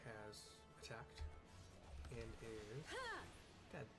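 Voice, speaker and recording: A man streaming a video game, casually describing scenes and chatting in halting, piecemeal phrases. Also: lowish voice, steady tone. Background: video game music.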